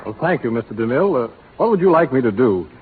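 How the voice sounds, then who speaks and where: A man's voice: mid-range voice, big pitch swings, quick pace, a loud crowd, heavy background noise. A man speaking as a guest fitness trainer in an earnest, stiffly read 1930s radio interview. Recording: muffled, phone-like 1930s radio recording.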